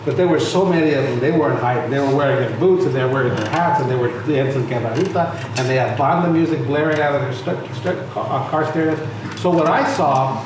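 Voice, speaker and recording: A man's voice: medium pitch, quick pace. A middle-aged man speaking as a panel guest, conversational and storytelling, with frequent restarts. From United States. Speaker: panel guest, musician and arts center founder. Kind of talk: panel discussion. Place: lecture room on stage. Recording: very echoey room, distant mic, noisy.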